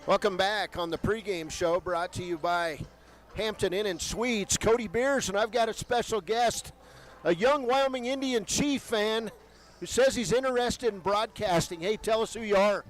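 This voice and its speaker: A man's voice: high-pitched, normal speed, soft background music. A man hosting a radio pregame show from courtside, chatting upbeat with a guest. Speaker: radio sports broadcaster. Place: courtside in a gym.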